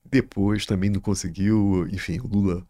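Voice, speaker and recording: A man's voice: deep voice, fairly even intonation, moderate pace, dark tone, no echo. A middle-aged man with a Carioca accent, chatting as a guest in a rambling, profane way and repeating his words. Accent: Carioca Brazilian Portuguese. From Rio de Janeiro, Brazil. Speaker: guest, writer and musician. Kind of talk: casual podcast chat.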